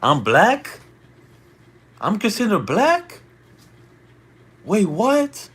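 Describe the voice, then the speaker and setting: A man's voice: sits high, huge pitch swings, very slow, a bit bright, breathy edge. A man of Dominican family, born in the US, chatting casually on a late-night live stream. He reads out his chat in a slangy, laid-back way and keeps asking "you feel me?".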